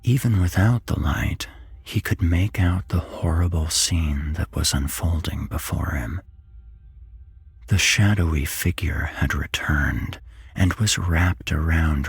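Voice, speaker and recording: A man reading a horror story as whispered ASMR narration, hushed and deliberate, close to the microphone. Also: extremely low pitch, vocal fry, slightly rough, dry recording. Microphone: close microphone, dry.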